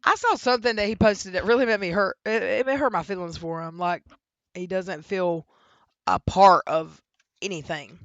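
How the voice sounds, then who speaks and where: A woman's voice: medium pitch, moderate pace, fairly bright tone, dry recording, muffled recording. A woman hosting a casual live stream, chatting with guests and reading the chat aloud, laughing often. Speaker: channel host.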